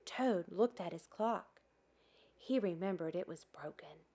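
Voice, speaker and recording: A woman hosting a children's storytime, narrating with expressive, deliberate delivery for very young listeners. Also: lowish voice. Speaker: museum storytime host. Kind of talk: children's read-aloud narration.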